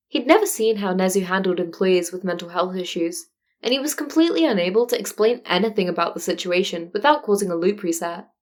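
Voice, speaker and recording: A woman reading scripted fan fiction as an audiobook narrator. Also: mid-range voice.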